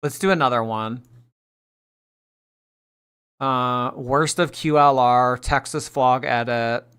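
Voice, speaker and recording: A woman in her late twenties chatting on a livestream, reading out viewer tips and teasing, swinging between loud and mumbled. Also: very deep voice, slow pace, smooth. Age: late 20s. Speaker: streamer. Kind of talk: livestream reaction chat.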